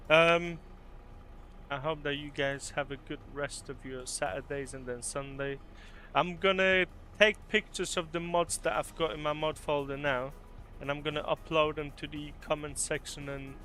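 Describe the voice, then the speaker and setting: A man from Poland chatting casually on a live stream, answering viewers with a relaxed, rambling manner and many fillers. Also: a little high. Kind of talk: casual live-stream chat while driving.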